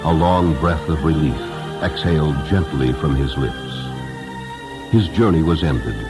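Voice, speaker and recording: A man with a remarkably deep bass voice narrates a storybook tale. His delivery is solemn, reverent and dramatic, measured in its phrasing. Loud music plays beneath him. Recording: music under the voice.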